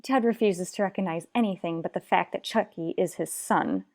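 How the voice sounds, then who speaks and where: A woman playing an anguished, unhappily married mother in a radio soap opera, speaking earnestly with dramatic pauses. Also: fairly low pitch, a little mellow, lightly acted. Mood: troubled, pleading.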